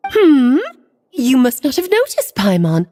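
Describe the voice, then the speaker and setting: A woman's voice: sits high, huge pitch swings, a little slow, warm tone, slight background noise, heavily acted. A woman playing a playful, flamboyant, mischievous witch who talks in a theatrical, teasing, sing-song way in game cutscene dialogue.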